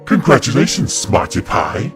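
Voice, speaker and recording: A man's voice: on the low side, very animated, normal speed, a bit bright, soft background music. A man playing an evil ancient winged serpent spirit in a cartoon comic dub, gloating and mocking with theatrical delivery.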